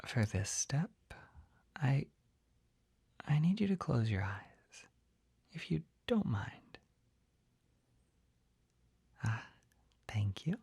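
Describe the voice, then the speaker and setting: A young man playing a shy, skittish, kindly potion shopkeeper in an ASMR roleplay, whispering gently and hesitantly close to the microphone. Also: medium pitch, sing-song, extremely slow, pauses often, no echo, exaggerated voice. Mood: gentle, guiding. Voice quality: breathy, whispery. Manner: hesitant, gentle, soft-spoken.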